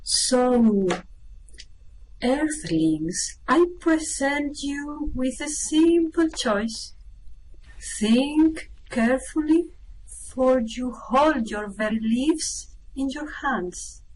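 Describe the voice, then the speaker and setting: A woman performs a menacing alien ship's captain for a radio play. The delivery is extremely slow, imperious and deliberate, in a fairly high voice. There is noticeable background noise on the recording. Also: animated, a little mellow, heavily acted. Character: menacing, callous alien spaceship captain who writes poetry. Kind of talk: amateur radio play acting. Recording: noisy with background ambience.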